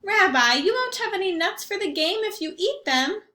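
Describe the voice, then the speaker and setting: A woman's voice: very high-pitched, very animated, moderate pace, pushed and bright, roomy sound, pitched above the performer's own voice, heavily acted. A woman voicing a kindly, fussing old grandmother who cannot see or hear well. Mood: fond. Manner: fond, fussing and sing-song. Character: kindly old woman, half blind and half deaf, chatty.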